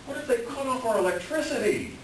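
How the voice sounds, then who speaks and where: A man's voice: extremely high pitch, animated, fairly quick, a loud crowd. A man playing a flustered doctor who is cornered and in debt, in a staged play reading, sliding from professional patter into exasperated stammering. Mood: panicked, exasperated. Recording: echoey room, distant mic, faint noise.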